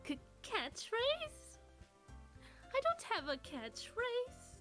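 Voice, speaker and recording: A woman plays a friendly, poised teenage club leader from a visual novel. Her high voice moves animatedly up and down as she takes charge. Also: wildly swooping pitch, drawn-out words, a bit bright, soft background music, exaggerated voice. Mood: upbeat, puzzled. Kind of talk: visual novel character dub.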